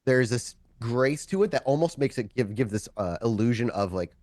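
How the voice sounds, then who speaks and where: Man narrating a tabletop game, animated pitch. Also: no room sound. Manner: halting, self-correcting, dramatic pauses. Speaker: storyteller, game master of a tabletop roleplay show. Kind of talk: improvised game narration, conversational and descriptive.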